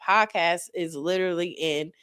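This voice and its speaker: A woman in her forties hosting a podcast, chatting casually and encouraging her guest in quick bursts with repeated starts. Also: lowish voice, fairly bright tone, clean tone, dry recording, clean studio sound. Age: 40s. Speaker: podcast host. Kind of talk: casual interview chat.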